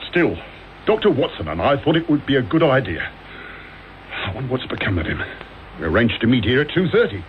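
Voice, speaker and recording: An actor playing an earnest, blustering English police inspector in a radio drama. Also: male voice, mid-range voice, sing-song, fairly quick, long pauses, close to an everyday voice. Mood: earnest, officious. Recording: dull noisy old radio broadcast.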